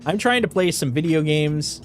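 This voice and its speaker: Animated, slightly nasal Wisconsin man. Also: a little high, normal speed. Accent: Upper Midwest (Wisconsin), played up. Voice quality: a little nasal. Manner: joking and animated, laughs often, slips into exaggerated folksy exclamations. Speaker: game streamer. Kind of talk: casual live-stream chat.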